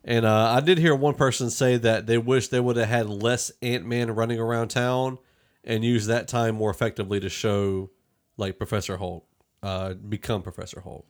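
A man's voice: mid-range voice, fairly even intonation, normal speed. A man hosting a podcast, talking through a film review in a rambling, conversational way. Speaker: podcast host. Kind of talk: casual movie review discussion.

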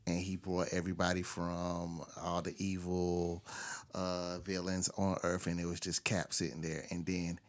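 Male podcast guest, deep voice, very flat delivery, casual recounting. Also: unhurried. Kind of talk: casual movie review chat.

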